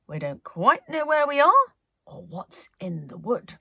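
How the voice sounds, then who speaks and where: A woman voicing a brisk, plucky female pilot in a children's storybook, with a hearty, bossy, jolly manner. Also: a little high, very animated, vocal fry, pushed and bright, husky, no room sound, exaggerated voice.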